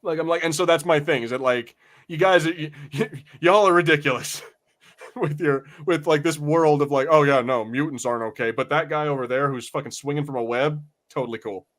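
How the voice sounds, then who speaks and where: A man's voice: fairly high pitch, brisk, fairly bright tone. A man co-hosting a podcast, chatting casually about pop culture in a profane, exasperated ranting manner. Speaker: podcast co-host.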